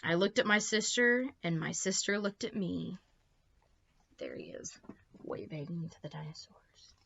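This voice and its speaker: Bright-voiced woman reading a storybook, lo-fi sound. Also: lowish voice, lively intonation, unhurried, gravelly, husky. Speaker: librarian reading stories to children. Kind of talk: expressive storybook read-aloud with picture asides.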